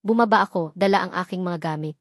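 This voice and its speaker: A woman's voice: on the low side, quick pace, no echo. Woman narrating a dramatic story, dry sound. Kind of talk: dramatic first-person scripted narration in Tagalog drama storytelling.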